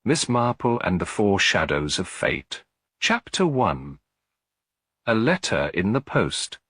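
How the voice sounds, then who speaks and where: A man narrates a classic detective story in a deep, husky voice, with lively rises and falls in pitch. The reading is scripted storytelling, recorded very dry and close. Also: slow, no echo. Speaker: audiobook narrator.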